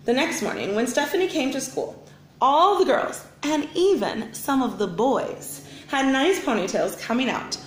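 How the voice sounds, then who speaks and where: A woman reading a children's storybook aloud like a teacher, with expressive, deliberate phrasing. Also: sits high, slight background noise. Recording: roomy, echoing indoor sound.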